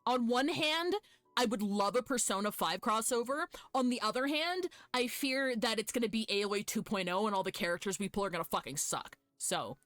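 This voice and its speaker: A woman's voice: on the high side, expressive, moderate pace, fairly bright tone, dry recording, faint music behind. Chatty, foul-mouthed woman streaming a game. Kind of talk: casual chat with viewers while gaming. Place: live stream with game music behind.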